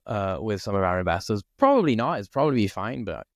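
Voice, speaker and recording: Low-voiced man thinking out loud. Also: big pitch swings, a little fast, no echo, clean studio sound. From Italy. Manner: thinks aloud, peppers speech with "like" and "right?". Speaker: podcast co-host. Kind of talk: casual conversation.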